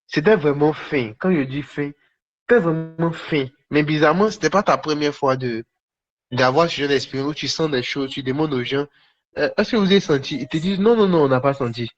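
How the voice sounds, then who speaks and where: A man preaching in a conversational, charismatic style to online viewers, repeating short phrases for emphasis with pauses between them. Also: on the high side. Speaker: preacher hosting a live online prophetic session. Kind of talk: charismatic sermon and prophetic teaching, conversational, addressing viewers. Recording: dull, lo-fi livestream audio.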